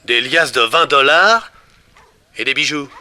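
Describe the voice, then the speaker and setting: An actor playing a blunt, sardonic, suspicious country sheriff in a 1960s French radio drama. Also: male voice, mid-range voice, lively intonation, measured pace, sharp tone. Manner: blunt, sarcastic interrogating.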